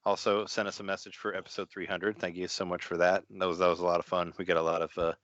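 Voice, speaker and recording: Male podcast guest, low voice, casual and chatty, dry muffled remote audio. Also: level tone, fast talker, fairly bright tone, dry recording. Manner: chatty, repeats words as he goes. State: recovering from an illness, voice mostly back.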